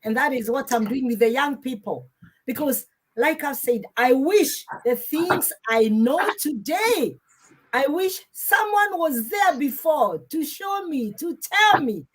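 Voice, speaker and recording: A woman hosting a live online panel, speaking emphatically and sometimes slowly and deliberately. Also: high-pitched, expressive, rough recording. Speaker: host.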